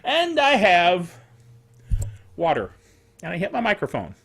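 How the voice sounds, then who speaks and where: A man's voice: sits high, breathy edge. An older man hosting a casual solo tech show, rambling playfully like a self-styled curmudgeon, stretching words for emphasis and tossing in spoken sound effects. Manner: animated, emphatic delivery with drawn-out words. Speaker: podcast host. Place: small home studio.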